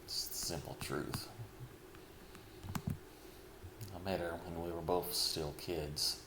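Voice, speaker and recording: A middle-aged man speaking a slow, heavy personal confession, pausing often and sighing. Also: deep voice, steady tone, in a whisper. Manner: slow, heavy, halting with sighs. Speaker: vlogger. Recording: echoey room with clear background noise.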